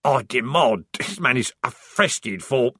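A man voicing a petty male corporal who screams indignantly in high fury. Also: animated, slow pace, pushed and bright, husky, no room sound, studio quality, full character voice. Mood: furious.

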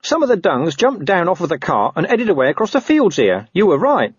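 A man's voice: high voice, wildly swooping pitch, fairly quick, husky, no echo, lo-fi sound, pitched above the performer's own voice, exaggerated voice. A man voicing a scruffy, streetwise talking dog for an audiobook, with colloquial, hesitant, wheedling speech. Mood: grumbling, curious. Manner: colloquial, hesitant, working-class phrasing. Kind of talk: audiobook character voice.

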